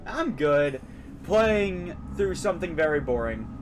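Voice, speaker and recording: A man with a somewhat high voice streams a video game and talks casually over clearly audible music. He rambles through his opinions in an informal, conversational way. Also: very animated, measured pace. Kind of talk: casual live-stream commentary while playing a game.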